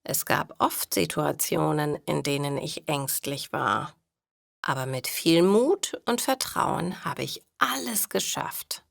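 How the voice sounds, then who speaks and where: A woman voicing a kind but shrewd, plain-spoken elderly grandmother in a storybook read for language learners, with deliberate, matter-of-fact delivery. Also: low voice, creaky, no room sound, studio quality, pitched below the performer's own voice, full character voice. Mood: frank, encouraging.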